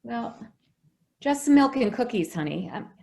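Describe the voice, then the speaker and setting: A woman playing an affectionate, fretful caretaker of a young child in a radio drama, expressive and coaxing. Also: on the high side, a little slow, gravelly, full character voice. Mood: gently coaxing.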